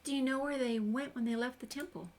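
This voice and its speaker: A woman's voice: high voice, fairly even intonation, fast, a little mellow. A woman gamer giving a chatty, casual walkthrough commentary, reading dialogue options aloud as she plays. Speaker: gamer narrating a game walkthrough.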